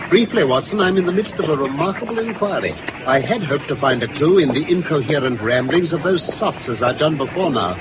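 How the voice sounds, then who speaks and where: An actor playing a self-assured Victorian detective, wry, formal and articulate, in an old-time radio drama. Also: male voice, on the high side, animated, fairly quick, few pauses, narrow, tinny audio, close to an everyday voice. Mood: wry, eager. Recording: noisy, thin old radio recording.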